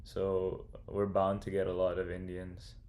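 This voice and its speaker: A man's voice: low voice, completely flat delivery, measured pace, a little mellow. A young man casually livestreaming as he works through a coding problem, thinking aloud and chatting with viewers in a laid-back, slangy way. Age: young adult. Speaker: streamer and computer science student.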